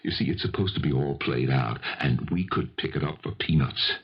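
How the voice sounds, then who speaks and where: A man's voice: rumbling low, moderate pace, husky. An actor playing a guilty rich businessman in a radio mystery, agitated and stammering, pleading and then snappish. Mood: evasive, explaining. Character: guilty, panicky rich businessman confessing to murder. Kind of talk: 1970s radio mystery acting. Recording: dull, band-limited 1970s radio recording.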